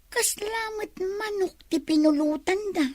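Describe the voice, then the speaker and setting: A woman's voice: extremely high pitch, a little slow, darkish tone, no room sound. A woman playing a nosy, fussy young girl in an Ilocano comedy radio drama. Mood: surprised, fussy.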